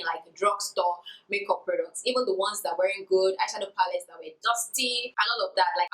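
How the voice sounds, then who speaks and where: A woman's voice: medium pitch, fairly bright tone, rough recording. A woman in her twenties, a beauty YouTuber, answering viewer questions in a candid, chatty vlog with a sing-song lilt. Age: 20s. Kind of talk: casual Q&A vlog.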